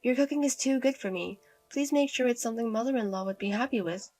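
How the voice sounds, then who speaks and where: Woman playing a shy beaten-down young wife, quiet and sorry-sounding. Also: on the high side, fast talker, lightly acted. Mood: meek. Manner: meek, apologetic, soft-spoken.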